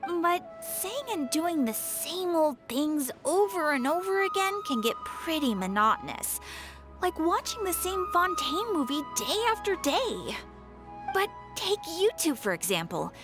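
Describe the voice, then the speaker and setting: High-voiced, cheerful receptionist. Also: female voice, big pitch swings, a little slow, music in the background, full character voice. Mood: musing, friendly. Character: cheerful guild receptionist relaxing on break, musing. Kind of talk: video game voice acting.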